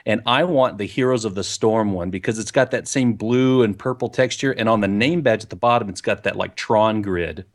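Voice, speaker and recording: A man's voice: on the low side, normal speed, hardly any pauses. A man co-hosting a gaming podcast in casual, rambling chat, talking into a desk microphone with a pop filter. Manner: rambling, chatty and jokey. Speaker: podcast co-host. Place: home recording setup on camera.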